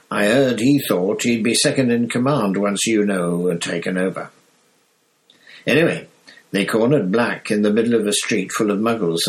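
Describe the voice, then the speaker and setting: A man voicing an excitable, gossipy young bus conductor who chatters in slang. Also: on the low side, rough recording.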